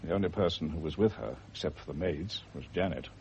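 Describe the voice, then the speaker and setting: An actor in a radio drama playing a grave, world-weary family doctor, measured, formal and authoritative. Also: male voice, very deep voice, a little mellow. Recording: muffled, noisy old radio recording.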